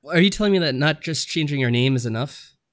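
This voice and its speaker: A man's voice: fairly high pitch, lively intonation, quick pace, no room sound. A man co-hosting a podcast, chatting casually with dry sarcasm. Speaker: podcast co-host.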